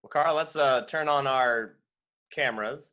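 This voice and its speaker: A man hosting a tour company video call, relaxed and joking. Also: medium pitch, measured pace. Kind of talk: casual chat on video call.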